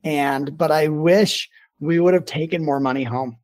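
A man's voice: fairly high pitch, measured pace, darkish tone. A man hosting a casual podcast interview, talking informally and rambling a little, with "like" sprinkled through his sentences. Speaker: podcast host and business owner.

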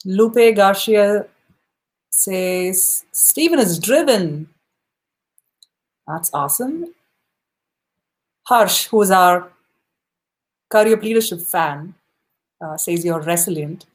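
A woman's voice: medium pitch, expressive, slow pace, pauses often, rough recording. A woman hosting a live podcast, chatting casually and encouragingly with her guest, often saying "you know". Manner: upbeat, encouraging, many "you know" fillers. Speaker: podcast host. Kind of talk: casual interview chat.

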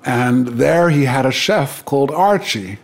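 A man talking as a guest in a relaxed conversation, hesitant and full of restarts. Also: mid-range voice, unhurried, creaky. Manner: hesitant, many restarts and fillers. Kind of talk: casual chat.

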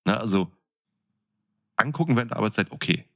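Deep-voiced man chatting casually. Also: expressive, a little fast. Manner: jokey, colloquial, buddy-like. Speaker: podcast co-host. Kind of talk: casual chat.

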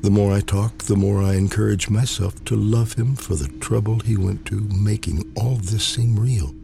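A man reading a literary poem aloud with measured, deliberate phrasing. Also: lowish voice, creaky, a little mellow. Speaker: poetry reader.

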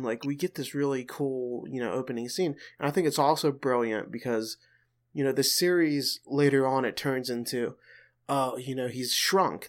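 A man chatting on a casual podcast with an even, middle-pitched voice. He talks loosely and teases the others, with a joking edge. His voice sits in an ordinary register. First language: not Japanese. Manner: joking, teasing. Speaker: podcast host.

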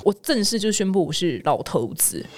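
A woman's voice: fairly low pitch, big pitch swings, normal speed. A middle-aged woman with a Taiwanese Mandarin accent hosts casual news commentary with personal anecdotes, chatty, colloquial and exclamatory. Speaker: podcast host.

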